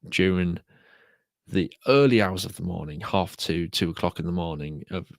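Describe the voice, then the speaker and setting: A British man hosting a solo podcast, talking casually and repeating himself, croaky from a sore throat. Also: sits low, moderate pace, no echo, studio quality. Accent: British English. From United Kingdom. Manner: rambling, repeats words. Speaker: podcast host.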